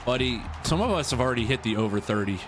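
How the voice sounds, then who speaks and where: A man's voice: mid-range voice, animated, quick pace, over music. A man in his thirties hosting a live stream, chatting casually with viewers and reacting to a football game he is playing, often repeating himself. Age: 30s. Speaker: livestream host. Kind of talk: casual live-stream chat over gameplay.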